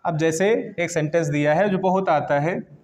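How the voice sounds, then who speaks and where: High-pitched Indian man teaching. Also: moderate pace, darkish tone. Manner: repeats phrases and asks himself questions before answering. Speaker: English grammar teacher. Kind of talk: classroom lesson, explaining step by step in Hindi mixed with English terms.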